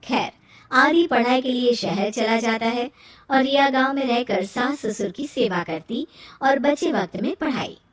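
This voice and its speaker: A woman's voice: a little high, unhurried. A woman reading scripted narration as the storyteller of a moral tale. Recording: roomy echo, distant from mic.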